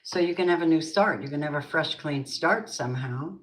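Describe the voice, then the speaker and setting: A woman's voice: low voice, a little fast. Older lady tarot reader, chatty and rambly, calls everyone babies, noisy stream with clicks. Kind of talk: live-streamed tarot reading.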